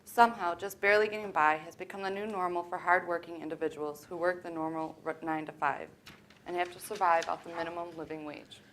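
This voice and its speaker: Young woman, quick pace, scripted student narration, faint background noise. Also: fairly low pitch, steady tone, a bit bright. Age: young adult. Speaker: student presenter. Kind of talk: scripted readers theater narration. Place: indoor college library event.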